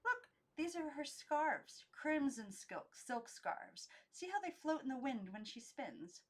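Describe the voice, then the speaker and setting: A woman's voice: high voice, sing-song, slow, pitched above the performer's own voice, heavily acted. Woman as a prim, fanciful lady doll. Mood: dreamy, enchanted. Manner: prim, correcting, genteel.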